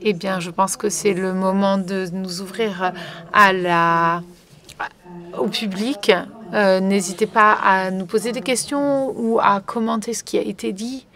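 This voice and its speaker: A woman's voice: medium pitch, fairly even intonation, measured pace, a bit bright. A woman working as a conference interpreter, relaying a panel live with frequent fillers and restarts. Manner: hesitant, many fillers and restarts.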